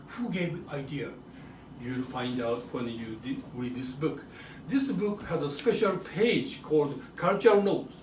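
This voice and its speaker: A man with a Japanese accent giving an educational demonstration in short, simple phrases, often checking in with a quick question. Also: fairly high pitch. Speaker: author and presenter. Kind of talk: educational show-and-tell for children and families. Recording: roomy echo, distant mic, faint noise.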